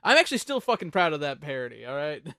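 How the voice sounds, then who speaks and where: A talkative host runs a casual ranking chat with plenty of joking and swearing. The pitch swings around in an animated way, and the recording is dry and close. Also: quick pace, gravelly, dry recording. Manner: jokey, profane, chatty. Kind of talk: casual chat ranking songs on a panel show.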